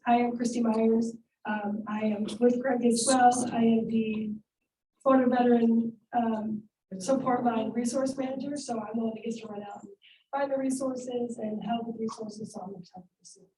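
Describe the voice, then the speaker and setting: Quick-talking woman in an echoey room. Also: on the high side, fairly even intonation, warm tone, a little hiss or hum. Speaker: resource manager. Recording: echoey meeting room, far from mic.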